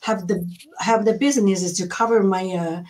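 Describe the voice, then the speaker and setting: A middle-aged woman chats casually as a livestream co-host. She has a Taiwanese accent and a voice of average pitch. Her phrasing is broken and rambling, in a very informal way. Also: fairly even intonation, normal speed, darkish tone. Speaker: co-host, healthcare worker. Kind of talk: casual chat, self-introduction.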